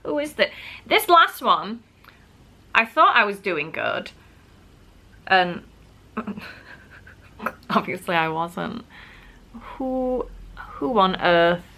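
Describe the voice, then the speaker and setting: A woman's voice: medium pitch, sing-song, drawn-out words, long pauses, pushed and bright. A woman vlogger, sick and wheezy with a bad cough, chatting casually to camera and poking fun at herself. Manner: chatty, self-mocking, many "like" fillers.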